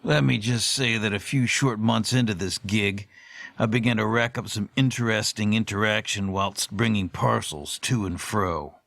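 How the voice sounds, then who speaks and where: A man playing a formal, wordy middle-aged storyteller, giving a measured, ornate monologue in an audio horror drama. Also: fairly low pitch, vocal fry, fairly bright tone, no echo. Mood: confiding, grave. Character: formal, verbose middle-aged man recalling haunting courier days.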